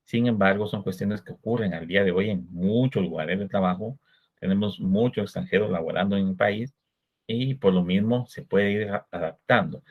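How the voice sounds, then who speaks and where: A Guatemalan man with a Guatemalan Spanish accent giving a recorded law lecture, explaining in a measured way and posing rhetorical questions to his students. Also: fairly low pitch, breathy edge. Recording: dull lo-fi recording.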